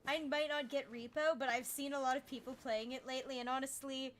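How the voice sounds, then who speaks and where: A woman streaming a game, chatting casually with an energetic, naturally loud manner. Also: sits high, a little fast, a little hiss or hum. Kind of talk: casual gaming stream chat. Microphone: desk mic, peaking.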